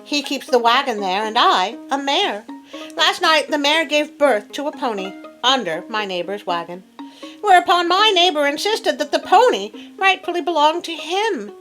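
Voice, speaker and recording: Woman reading an aggrieved peasant man, animated. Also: high-pitched, pitched above the performer's own voice, rough recording. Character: aggrieved peasant man, wronged mare owner.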